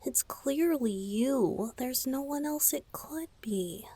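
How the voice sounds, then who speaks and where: A woman voicing a shy, flustered boyish lover in an intimate ASMR romantic roleplay, speaking softly and breathily with hesitant, halting phrasing. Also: high voice, expressive, full character voice. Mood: tender, shy. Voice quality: soft, breathy, often whispered.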